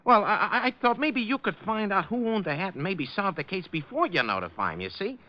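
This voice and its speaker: A man's voice: high-pitched, very animated, brisk. A friendly, anxious cab driver in a vintage radio play. His raised voice moves animatedly and hesitantly, through a dull old recording. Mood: nervous, pleading. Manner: hesitant, deferential, colloquial. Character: nervous, friendly working-class male New York cab driver. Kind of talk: 1940s radio acting.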